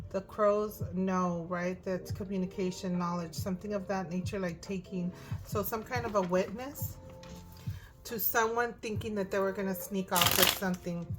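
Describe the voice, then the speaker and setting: Hoarse woman reading tarot over music. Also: mid-range voice. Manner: thinking aloud, frequent "right?". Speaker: tarot reader. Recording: music in the background.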